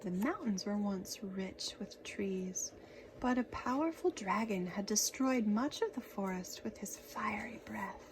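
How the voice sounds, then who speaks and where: A woman reading a children's picture book aloud in an expressive, dramatic storytelling manner. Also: mid-range voice, a little slow, a little hiss or hum, poor audio.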